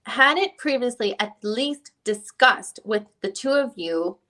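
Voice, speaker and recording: Woman acting female attorney, deposition reading, probing questions, halting rephrasing, bright forceful tone, higher pitch. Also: animated. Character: female defense lawyer questioning a witness in a deposition. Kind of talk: dramatic reading of a legal deposition transcript.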